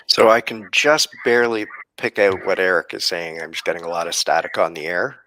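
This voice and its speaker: A man hosting a podcast live stream, explaining things casually in a halting, thoughtful way with frequent pauses. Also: sits low, steady tone, sharp tone, a little hiss or hum, poor audio. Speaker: podcast host.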